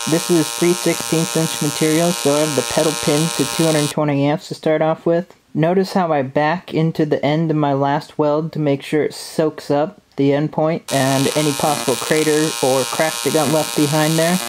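Man, thirties, welding voice-over, calm step-by-step explaining, steady arc hum behind. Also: on the high side. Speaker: welding instructor.

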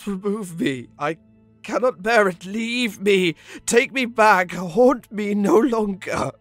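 A man's voice: sits high, slow, a bit bright, dry recording, soft background music. An actor playing a proud, tormented Victorian man with an anguished, dramatic delivery. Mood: anguished, pleading.

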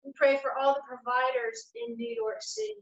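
A woman leading a congregation through liturgical prayers in a measured, steady reading voice, standing at a lectern in a small room at home and streamed over a webcam. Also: high voice, vocal fry. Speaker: church worship leader. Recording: echoey room, dull lo-fi sound.

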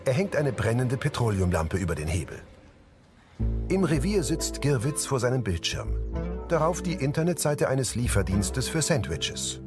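A man's voice: mid-range voice, sing-song, moderate pace. A man giving scripted audio description as narrator, describing scenes in the present tense. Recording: loud music behind the narration.

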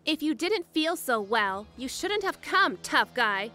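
A woman voicing a cocky, teasing, self-assured young woman in an animated webcomic dub. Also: high-pitched, a little slow, faint music behind, heavily acted. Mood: smug, teasing. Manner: playful, smug, sing-song teasing.

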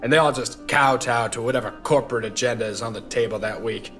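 A man's voice: mid-range voice, quick pace, a bit bright, close to an everyday voice. Casting: male actor as boozy, bitter veteran reporter, old-time noir patter, audio drama, light music bed. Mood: weary, bitter. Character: drunk, disillusioned hard-boiled veteran newspaper reporter. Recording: faint music underneath.

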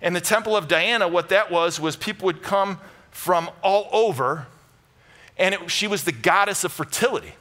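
A middle-aged American man preaching a conversational sermon, emphatic and animated, moving between loud bursts and hushed asides. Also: high-pitched, normal speed. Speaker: pastor preaching. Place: large church auditorium stage with audience.

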